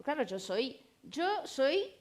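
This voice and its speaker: A woman's voice: sits high, big pitch swings, slow. A middle-aged woman novelist whose first language is Romanian, speaking Spanish on a literary panel. She tells stories animatedly, with playful asides. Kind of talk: conversational panel talk.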